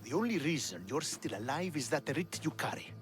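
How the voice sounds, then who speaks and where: High-pitched, scornful Spartan commander. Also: male voice, sing-song, brisk, over music. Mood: bitter, scornful. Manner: scornful, biting. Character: bitter, proud, hostile Spartan commander, a man. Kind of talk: dramatic video game dialogue.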